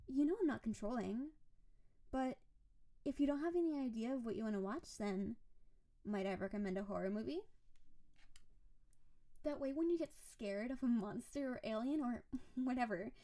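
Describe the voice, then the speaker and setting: A woman playing a doting, possessive snow-spirit wife in an intimate roleplay audio, speaking to her beloved in a sweet, teasing, clingy way with soft menace. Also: a little high, animated, moderate pace, pauses often. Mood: affectionate, eager. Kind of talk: intimate scripted roleplay audio addressed to the listener.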